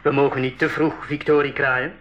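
A man's voice: mid-range voice, level tone, a little fast, a bit bright, dull audio, close to an everyday voice. A man playing an earnest, proud scientist in a school radio drama, explaining things articulately. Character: earnest, proud male scientist leading jungle expedition.

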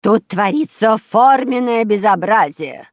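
A woman voicing a furious, fussy older female neighbour in a children's storybook, loud and indignant. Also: mid-range voice, lively intonation, measured pace, no echo, studio quality, voice pushed up. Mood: indignant, demanding. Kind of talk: children's audio storybook character voice.

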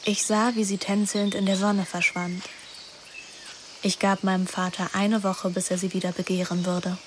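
Over clearly audible ambient noise comes a woman acting as a teenage girl in a radio play. She is scheming and anguished, speaking in an intimate, brooding manner at a middle pitch. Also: little pitch movement, moderate pace, natural voice. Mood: brooding, introspective. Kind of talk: radio drama with introspective first-person narration.

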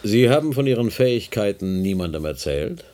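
A man's voice: fairly low pitch, very animated, brisk, warm tone. A man playing an evasive, condescending senior research doctor in a radio play, with a hesitant, officious manner. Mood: placating. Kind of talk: German radio play acting.

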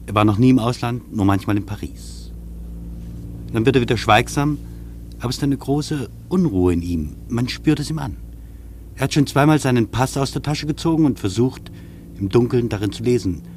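An actor playing an observant air traveller in a German radio play, giving his impressions in measured, reflective phrases. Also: fairly low pitch, close to an everyday voice. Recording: steady engine-like hum in the background.

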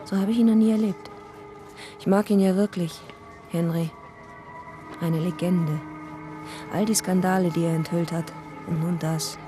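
A woman's voice: on the low side, steady tone, a little slow, pauses often, over music, lightly acted. An actress playing a driven, ambitious female radio reporter in a German radio drama, insistent and incredulous in delivery. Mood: uneasy musing. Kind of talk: German radio drama acting with inner monologue.

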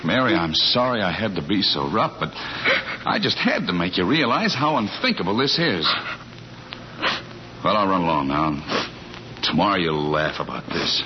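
An actor playing an exasperated, loyal bachelor friend, emphatic and stammering, in 1940s radio comedy style. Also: male voice, low-pitched, big pitch swings, normal speed, pauses often. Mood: flustered. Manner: emphatic, stammering when flustered. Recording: muffled, noisy 1940s radio recording.

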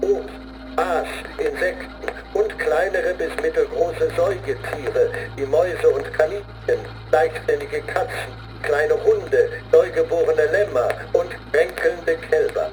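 An actor playing a grim zealot who recites a training manual deliberately, like an incantation, in a radio play. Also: male voice, fairly high pitch, natural voice, low-quality recording. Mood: solemn, ominous. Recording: studio radio play with music underneath. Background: music.

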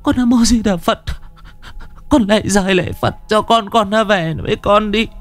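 A man voicing a distraught village mother in a high, shrill voice, praying and scolding. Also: normal speed, faint music behind, close to an everyday voice.

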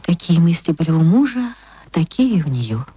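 A woman reading literary fiction as an actress narrator, expressive and theatrical, moving between hushed and swelling phrases. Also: low voice, normal speed, breathy edge.

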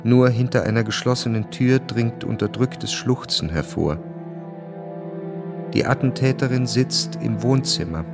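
A man narrating a radio drama in a calm, measured way. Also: mid-range voice. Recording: music bed under narration.

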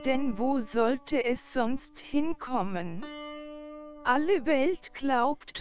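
An actress playing a worried, loving, admonishing mother in a German radio play, with careful, pleading, old-fashioned phrasing. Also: sits high.

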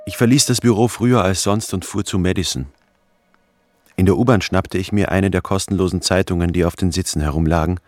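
An actor playing a brooding, introspective office anthropologist who narrates a radio drama in a measured way. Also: male voice, low voice, fairly even intonation, a little mellow, faint music behind, natural voice. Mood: brooding, detached. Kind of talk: scripted first-person radio drama narration.

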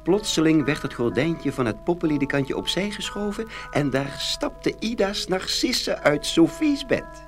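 A man narrating a scripted children's fairy tale in an expressive, dramatic storytelling manner. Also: fairly high pitch, unhurried, hardly any pauses, faint music behind.

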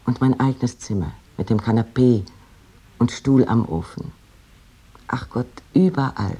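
An actor voicing a lovesick, yearning letter writer in an intimate, fervent dramatic reading of old letters. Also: male voice, medium pitch, measured pace, warm tone, slightly rough. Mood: wistful, tender.

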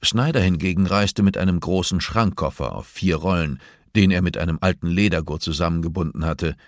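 A man's voice: low-pitched, dry recording, clean studio sound. A man reading a novel aloud as scripted audiobook narration.

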